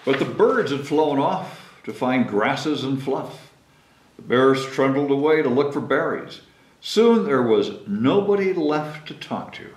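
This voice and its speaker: A man's voice: mid-range voice, lively intonation, unhurried, a little mellow. A man in his sixties reads a picture book aloud to children expressively, speaking simply to them in a small room. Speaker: mayor reading at a library children's story time. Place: small meeting room with whiteboard, at a table. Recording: roomy, echoing indoor sound.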